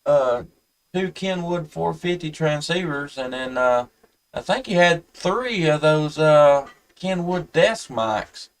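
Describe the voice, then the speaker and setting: A man chatting casually and haltingly as an amateur radio operator. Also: fairly high pitch, expressive, distant mic. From United States. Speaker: amateur radio operator hosting a live stream.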